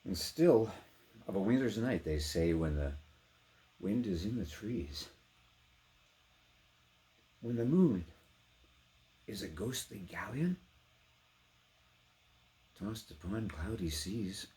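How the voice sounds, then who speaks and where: A man giving a theatrical, intense dramatic recitation of poetry, swinging between hushed delivery and full-throated cries. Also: lowish voice, big pitch swings, slow, long pauses. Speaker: poetry performer.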